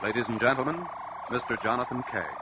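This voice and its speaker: A man announcing a radio drama in a grave, portentous, deliberate scripted style. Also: medium pitch, animated, heavy background noise. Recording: dull old radio broadcast recording. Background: music.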